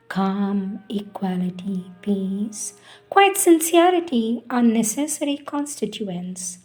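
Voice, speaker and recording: Woman, higher-pitched voice, slow devotional reading, deliberate emphatic phrasing, solemn, soft music in the background. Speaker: reader of spiritual teachings.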